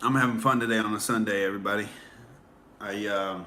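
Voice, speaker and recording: A man's voice: on the low side, fairly even intonation, a little fast, bright, forceful tone, a little hiss or hum. A man hosting a casual livestream, rambling with many fillers and restarts while answering viewer comments. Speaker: livestream host.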